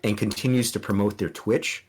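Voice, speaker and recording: A man's voice: lowish voice, steady tone, moderate pace, a little mellow, husky. A man whose first language is Spanish, a streamer guest on a podcast giving earnest, rambling advice with many restarts and ums. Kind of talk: casual podcast interview.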